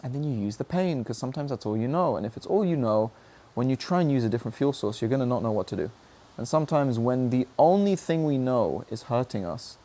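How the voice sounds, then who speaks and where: A man's voice: mid-range voice, mellow. A young adult man giving an earnest, searching monologue about his own struggles, often asking himself questions. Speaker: speaker sharing personal reflections. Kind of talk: reflective motivational monologue.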